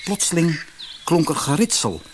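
A man narrating a children's fairy tale audiobook in an expressive storytelling manner. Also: fairly high pitch, moderate pace, noisy background.

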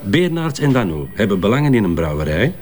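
An actor with a Flemish accent playing a composed police detective who lays out evidence methodically in a radio drama. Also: male voice, fairly low pitch, very animated, a little fast, a little mellow. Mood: explanatory. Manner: orderly, explanatory.

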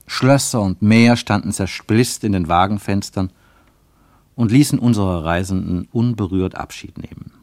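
A man's voice: fairly low pitch, darkish tone, dry recording. A man reading scripted literary narration for a radio play, as its narrator.

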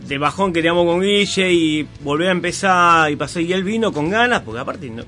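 A man with a Buenos Aires accent, a band member being interviewed, talkative and quick to laugh. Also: a little high, lively intonation, sharp tone, faint music behind. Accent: Buenos Aires Spanish. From Argentina. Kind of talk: casual interview chat.

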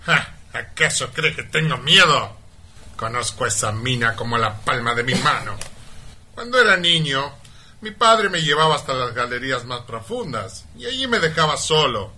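Bright, blustering callous mine boss. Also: male voice, mid-range voice, big pitch swings, normal speed, full character voice. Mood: boastful, reminiscing. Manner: imperious, dismissive, blustering. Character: pompous, callous, unscrupulous male mine owner and boss. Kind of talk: comic radio play acting.

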